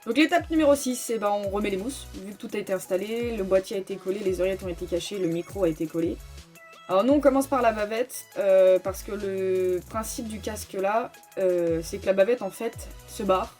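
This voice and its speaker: A woman talks through a tutorial in an informal, explanatory way, calling out each step as she reaches it. Her voice sits at a medium pitch for a woman. Soft music plays behind her. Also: brisk. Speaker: host of a motorcycle gear tutorial. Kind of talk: casual step-by-step tutorial voice-over.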